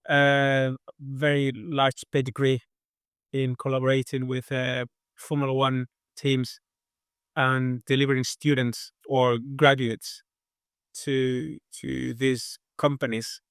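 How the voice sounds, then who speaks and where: Man, Spanish accent, non-native English, resonant smooth voice, level delivery, hesitant pauses, dry close sound. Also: medium pitch, fairly bright tone, no room sound. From southern Spain. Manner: measured, thoughtful, with many hesitations. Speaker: aerodynamics engineer, guest. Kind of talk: interview answers.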